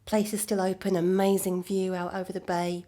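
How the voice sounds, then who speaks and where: Woman acting as calm, wry ex-girlfriend. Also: fairly low pitch, natural voice. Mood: reminiscing. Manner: measured, dry, matter-of-fact. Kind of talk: naturalistic audio drama acting.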